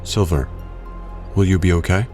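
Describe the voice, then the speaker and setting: A man playing a brooding, protective, reserved wealthy man in an audio drama, speaking in a measured, understated way. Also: low voice, steady tone, darkish tone, soft background music, lightly acted. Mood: shaken, gentle.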